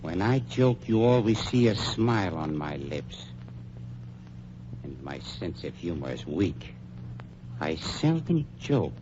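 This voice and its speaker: An actor playing a suave, sinister middle-aged funeral director, calm and menacing in 1940s radio acting style. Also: male voice, low-pitched, slow, long pauses, noisy background, heavily acted. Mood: coldly amused. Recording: muffled 1940s radio recording.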